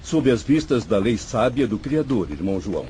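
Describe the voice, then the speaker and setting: A man's voice: mid-range voice, very animated, normal speed, dark tone, a little hiss or hum, natural voice. A man with a Brazilian accent playing a troubled, earnest disciple who seeks answers in a radio drama. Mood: troubled, questioning. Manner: earnest, questioning.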